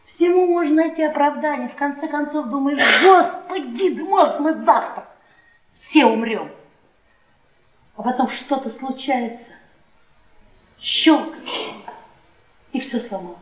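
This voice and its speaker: A woman's voice: sits high, lively intonation, measured pace, pauses often. An actress playing a domineering, scornful middle-aged wife in a radio play, taunting and dramatic in her delivery. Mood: bitter resignation. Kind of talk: theatrical radio play acting. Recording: echoey room, distant from mic.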